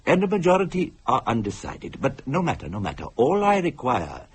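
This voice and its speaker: A grave Indian psychic in a radio thriller, speaking with an Indian accent and formal courtesy. His pitch moves dramatically as he weighs each phrase. There is faint noise behind the voice. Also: huge pitch swings. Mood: patient, measured. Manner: formal, deliberate, theatrical.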